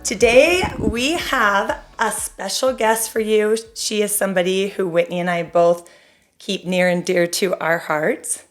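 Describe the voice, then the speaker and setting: A woman's voice: medium pitch, unhurried, soft background music. Casual woman podcast co-host. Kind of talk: casual interview chat.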